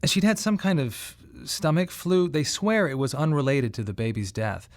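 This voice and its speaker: A man's voice: on the high side, moderate pace, dry recording, natural voice. An actor playing a weary, bereaved father who tells his story in a reflective, wry radio drama monologue. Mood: weary recollection.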